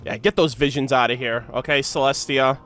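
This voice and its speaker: A woman's voice: extremely low pitch, fairly even intonation, fairly quick, fairly bright tone. A woman giving casual, effusive live reaction commentary as a game streamer, slangy and playfully emphatic. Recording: background music.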